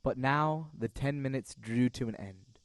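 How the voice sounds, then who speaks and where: A young man playing the solemn narrator of a Victorian mystery audio drama, reading scripted prose in a measured, literary way. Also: medium pitch, no echo, natural voice. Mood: ominous. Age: young adult.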